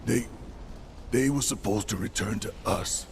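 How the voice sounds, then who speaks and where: An actor playing a burdened, remorseful fighter in a video game drama, with a gravelly, halting, solemn delivery. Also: male voice, medium pitch, noisy background. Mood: weary, remorseful. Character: burdened, remorseful big-hearted fighter, a grown man.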